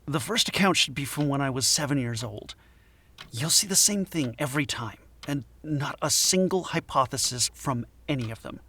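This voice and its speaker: A man acting a troubled middle-aged anthropology professor in an audio drama, guarded and halting. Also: medium pitch, expressive, pushed and bright, breathy edge. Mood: guarded, defeated. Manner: hesitant, halting, defensive. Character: troubled forty-year-old male anthropologist professor, guarded, haunted.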